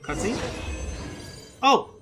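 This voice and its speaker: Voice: drawn-out words, over music. A gamer giving casual, slangy commentary while playing, exclaiming and muttering reactions. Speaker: video game streamer reacting to gameplay. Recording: clearly noisy background.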